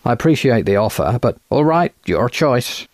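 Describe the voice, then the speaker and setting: A genial gentleman investigator in an audiobook tale, voiced by a male narrator. He is courteous and formal as he puts his questions. The recording is very dry. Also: mid-range voice, lively intonation, brisk, no room sound. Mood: polite, probing.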